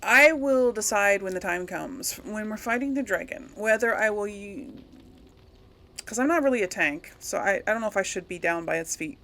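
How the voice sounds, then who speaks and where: A woman's voice: medium pitch, expressive, moderate pace, fairly bright tone, slight background noise. A woman hosting a casual let's play, talking to viewers in a rambling, hesitant, giggly way. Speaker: gaming video host. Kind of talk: casual let's play commentary with role-played replies.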